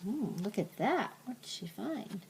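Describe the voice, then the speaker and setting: An older woman reading a storybook aloud like a grandmother, with gentle, simple asides to a young listener. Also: medium pitch, sing-song, unhurried, creaky, slight background noise.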